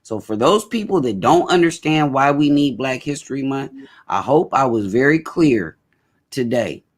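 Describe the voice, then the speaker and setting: A man's voice: mid-range voice, expressive, normal speed. A man hosting a casual talk show, speaking emphatically and often repeating his points. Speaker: host.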